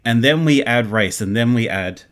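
A man's voice: mid-range voice, lively intonation, moderate pace, a bit bright. A man speaking as an interview guest in casual conversation, explaining his ideas earnestly and with emphasis. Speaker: interview guest, audio drama creator.

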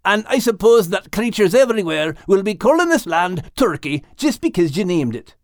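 A man's voice: sits high, very animated, normal speed, no echo, studio quality, pitched above the performer's own voice. Man voicing a grumbling, exasperated animal adventurer. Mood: sarcastic. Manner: muttering, exasperated.

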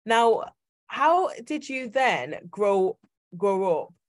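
A woman's voice: mid-range voice, big pitch swings. A woman with a northern English accent hosting a podcast interview, chatty and enthusiastic. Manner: enthusiastic, chatty, quick asides. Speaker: podcast host. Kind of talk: casual interview chat.

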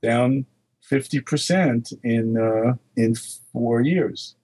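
A man's voice: medium pitch, slow pace, dark tone, slightly rough, no room sound, low-quality recording. An older man from the Netherlands, a market research guest, chatting casually and reading long lists of dates aloud. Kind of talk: casual phone interview chat.

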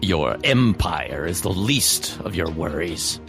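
An actor playing a defiant, resolute heroic warrior in an audio drama, speaking in a measured, dramatic way. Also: male voice, deep voice, lively intonation, vocal fry, full character voice. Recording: loud music under the voice.

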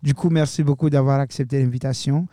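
A man's voice: medium pitch, steady tone, fast talker, dark tone, no room sound, clean studio sound. A young man hosting a student radio show, talking loosely and casually and slipping in English words. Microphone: studio microphone.

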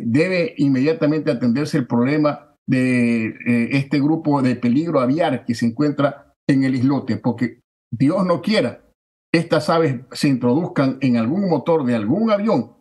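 Forceful man in his fifties. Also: a little high. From Ecuador. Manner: forceful, declamatory, repeats phrases for emphasis. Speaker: political candidate. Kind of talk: campaign interview answers.

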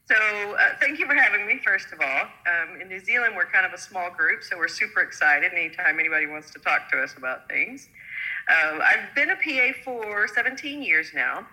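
Chatty American woman, bright forceful voice. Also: lowish voice, lively intonation, low-quality recording. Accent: Oklahoma. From United States. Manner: chatty, laughing often, quick asides. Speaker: guest, physician assistant. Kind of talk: casual interview talk.

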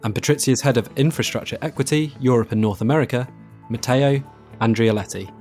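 A man's voice: mid-range voice, fairly quick, darkish tone. Male podcast host over faint music. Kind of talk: scripted introduction.

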